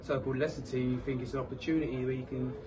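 A young man answering post-match questions as a footballer, casual and halting, repeating words. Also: medium pitch, little pitch movement. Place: outdoors by a sponsor board at a football ground. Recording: distant mic with crowd noise.

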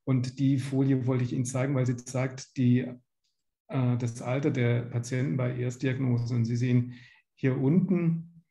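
A man in his fifties from Germany presents a lecture in a very dark, soft-edged voice with a noticeable fry. He explains things step by step, pausing and feeling for words, and the sound is that of a video call from an echoey room. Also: medium pitch, fairly even intonation. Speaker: medical lecturer, pediatric gastroenterologist. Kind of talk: slide lecture to patients. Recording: roomy home or office echo.

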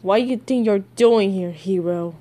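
A woman's voice: mid-range voice, normal speed, dark tone, close to an everyday voice. A woman voicing a cocky, defiant teenage boy villain in a comic dub, with a blunt, sassy manner.

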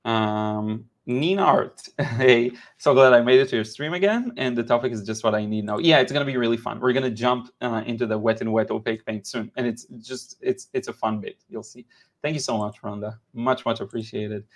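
A man in his thirties from Israel, teaching watercolor painting on a live stream in a relaxed, chatty way with many fillers and restarts, recorded in a home studio on a headset mic. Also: mid-range voice, a little fast. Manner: relaxed, chatty, frequent "uh" and repeated words. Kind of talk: live-stream painting lesson with chat replies.

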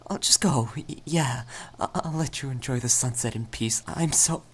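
A man playing a shy, lovestruck young male vampire in an ASMR romance roleplay, stammering nervously in a soft, breathy, often whispered voice. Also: mid-range voice, normal speed, a little hiss or hum, close to an everyday voice. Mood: flustered, apologetic. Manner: heavily stammering, hesitant, apologetic.

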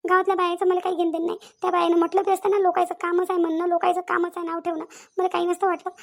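A woman's voice: extremely high pitch, little pitch movement, very fast. A woman voicing an aggrieved young daughter-in-law in a cartoon family drama, insistently repeating herself. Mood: hurt, aggrieved. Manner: repeats phrases insistently, rhetorical questions. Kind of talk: Marathi cartoon family comedy-drama acting.

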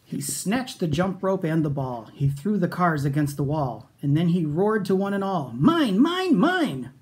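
A man's voice: a little high, moderate pace, a little mellow. A middle-aged American man reading a picture book expressively to children at a storytime. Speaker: school storytime reader. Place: small room at home, indoors.

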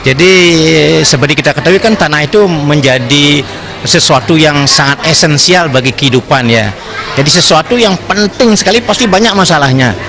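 A man's voice: fairly high pitch, lively intonation, a little fast. An older man giving an informal interview answer as a university rector. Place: auditorium. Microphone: handheld interview mic.

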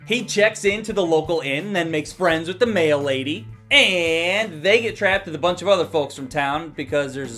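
A man in his thirties with a fairly high voice talks to camera as a film reviewer. He is conversational, comic and animated, and swears casually. Music plays quietly behind him. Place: small indoor room. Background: faint background music.

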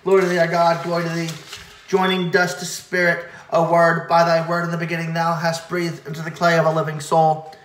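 Guy reading church hymns in a steady near-monotone, solemn, kinda high voice, echoey room. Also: slight background noise. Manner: solemn, measured, occasionally stumbling over words. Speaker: reader of church liturgy. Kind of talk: liturgical recitation of hymn verses.

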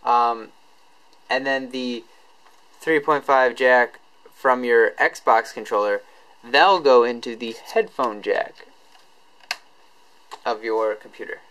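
A man presenting a tech tutorial in a casual, informal, unscripted way, halting as he explains each step. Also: medium pitch, a bit bright, low-quality recording.

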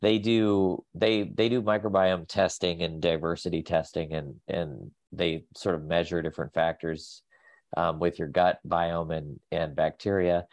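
Nasal, deep-voiced man with a cold. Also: level tone, a little slow, darkish tone, dry recording. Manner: rambling, many fillers and restarts. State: sick with a cold. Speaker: guest, pet nutrition company staff. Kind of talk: casual video call interview. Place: home office. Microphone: video call.

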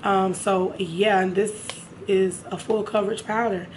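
A young woman with a raspy, hoarse voice, chatting casually to her viewers about makeup in a room at home. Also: medium pitch, steady tone, measured pace, a little mellow, a little hiss or hum, poor audio. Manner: chatty, addresses viewers directly. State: hoarse from a sinus infection. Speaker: beauty vlogger. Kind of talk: casual makeup haul chat.

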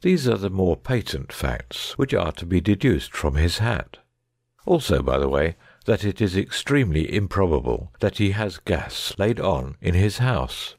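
A man playing a confident, analytical gentleman detective in a dramatic audiobook, precise and didactic. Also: low voice, sing-song, measured pace, creaky, dry recording, clean studio sound, full character voice.

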